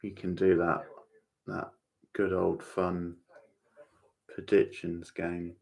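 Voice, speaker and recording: Man, deep voice, creaky fry, casual commentary, livestream host talking to chat. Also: monotone, slow, darkish tone, poor audio. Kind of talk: casual watchalong commentary, chatting with viewers.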